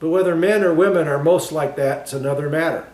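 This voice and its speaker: Man narrating as polite male playwright, storybook figure, wry, measured, enigmatic, higher-pitched, roomy echo. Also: darkish tone, natural voice. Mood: reflective, apologetic.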